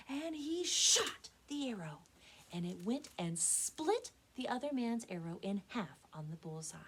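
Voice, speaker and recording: A young American woman, a children's librarian telling stories with expressive, dramatic emphasis, recorded indoors on a camera mic. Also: fairly low pitch, a little hiss or hum. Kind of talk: animated storytelling for children.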